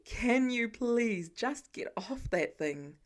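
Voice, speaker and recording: A woman's voice: on the high side, animated, slow pace. Woman reading as a dry, fussing protective husband. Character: protective, bantering husband and father, adult man.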